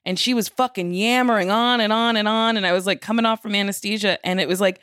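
A woman's voice: medium pitch, fairly even intonation, quick pace, a bit bright, clean tone. A woman with a mid-range voice co-hosts a casual podcast chat. She speaks bluntly and candidly about her opinions. The recording is dry and free of echo. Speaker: podcast co-host.

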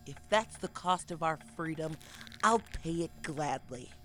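An actress playing a domineering middle manager in an audio drama, talking in menacing corporate-speak. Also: female voice, low-pitched, expressive, unhurried, a bit bright.

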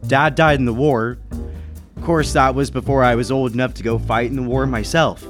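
A man's voice: medium pitch, fairly even intonation, normal speed, close to an everyday voice. A man playing a reserved businessman and war veteran who narrates a 1930s-style radio mystery in a guarded, matter-of-fact way. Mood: sober reminiscence. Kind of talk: 1930s radio drama acting with first-person narration. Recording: music bed under the voice.

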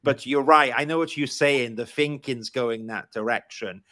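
A man's voice: medium pitch, normal speed, bright, forceful tone, no echo, clean studio sound. A man with a Welsh accent hosting a live-streamed interview, chatty and encouraging. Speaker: podcast host.

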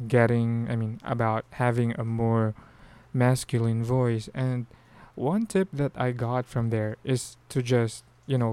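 A man's voice: medium pitch, warm tone, clear, resonant tone. A Filipino man with a Filipino accent, hosting a podcast in casual solo talk, rambling with frequent restarts and fillers. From Philippines. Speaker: podcast host.

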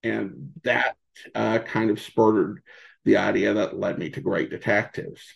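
A man speaking as a podcast guest in casual interview chat, halting as he thinks aloud. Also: on the low side, fairly even intonation, gravelly, lo-fi sound. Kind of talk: casual interview chat about old radio shows.

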